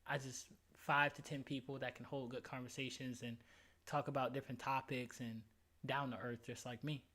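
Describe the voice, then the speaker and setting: Casting: man, late 20s, bright forceful voice, candid livestream host reading chat. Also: medium pitch, level tone, normal speed. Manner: candid, reads chat aloud, emphatic slang. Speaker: streamer.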